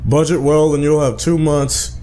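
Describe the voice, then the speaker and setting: A man's voice: on the high side, little pitch movement, moderate pace, mellow, a little hiss or hum, pitched above the performer's own voice, lightly acted, low-quality recording. A man voicing a stern, impatient middle-aged father, curt and lecturing, while reading a visual novel game aloud.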